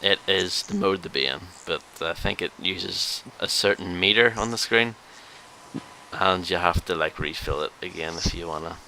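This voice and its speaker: Low, gravelly man with bright edge. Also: normal speed, rough recording. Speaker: podcast host. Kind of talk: casual video game review chat. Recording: hissy background noise.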